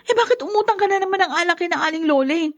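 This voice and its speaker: A woman's voice: extremely high pitch, rapid-fire, no room sound. A woman playing a long-suffering young wife and mother in a Tagalog radio drama, pleading and emotional. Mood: pleading, exasperated.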